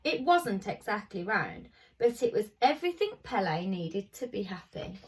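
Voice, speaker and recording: A woman with a husky voice and a middling pitch, speaking like a primary teacher at story time. She is informal and chatty as she addresses young children, recorded a little way from the microphone. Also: sing-song, normal speed, distant mic, poor audio. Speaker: primary school teacher reading to pupils. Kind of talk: reading a children's picture book aloud with informal asides.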